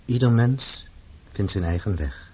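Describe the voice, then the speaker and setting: An actor playing a stern, devout, grave poet in a radio play, speaking in a measured, formal way. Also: male voice, fairly low pitch, expressive, breathy edge. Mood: grave, earnest. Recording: muffled, phone-like old radio recording.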